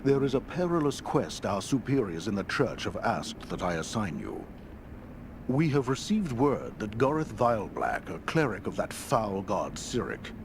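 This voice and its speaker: Game quest-giver priest: benevolent elder of the church, measured and formal, lively pitch, clear background noise. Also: male voice. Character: solemn fatherly church superior guiding a holy knight. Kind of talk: video game quest dialogue. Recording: noisy game capture.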